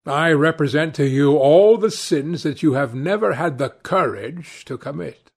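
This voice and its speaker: A man's voice: on the high side, measured pace, gravelly, breathy edge, pitched above the performer's own voice, full character voice. A man voicing a witty, cynical aristocrat in an audiobook, with a nonchalant, pompous, epigrammatic manner. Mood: suave, teasing. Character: languid witty cynical male aristocrat, urbane and teasing. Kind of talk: audiobook character dialogue.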